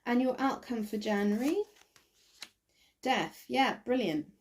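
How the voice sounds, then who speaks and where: A woman with a croaky voice doing a casual tarot reading to camera, thinking aloud with many ums and restarts. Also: fairly high pitch, animated, measured pace. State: croaky, recovering from bronchitis. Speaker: tarot reader.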